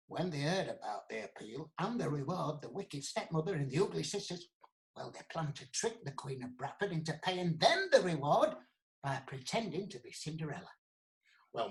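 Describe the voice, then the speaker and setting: A man's voice: a little high, fairly bright tone, low-quality recording. A man telling a comic pantomime tale, dramatic and speaking straight to the listener. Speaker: storyteller. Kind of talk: theatrical comic pantomime storytelling.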